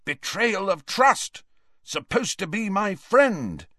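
A man voicing an indignant, hot-headed teenage boy with a loud, blustering manner, reading from a storybook. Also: high voice, sing-song, takes it slowly, no room sound, studio quality, voice pushed up, full character voice. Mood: outraged.

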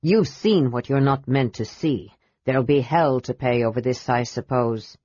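A woman voicing a grave, wise old male gold dragon who speaks slowly and sternly. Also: a bass voice, warm tone, husky, dry recording, highs cut off, voice pushed down. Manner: stern, grave, slow and measured.

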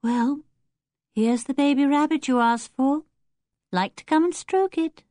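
A woman's voice: fairly high pitch, expressive, moderate pace, no room sound, studio quality, lightly acted. A woman voicing a cocky, rude young boy in a storybook, offhand and taunting. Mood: inviting.

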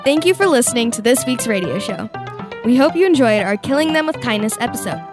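A woman's voice: on the high side, animated, brisk, over music. A teenage girl presenting a youth radio show, moving between casual chat and scripted reading.

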